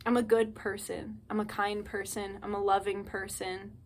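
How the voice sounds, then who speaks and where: A woman's voice: medium pitch, little pitch movement, normal speed. A young woman delivering a heated, profanity-laced monologue straight to her viewers, ranting and pleading. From United States. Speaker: spiritual channel host. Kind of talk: impassioned monologue to camera.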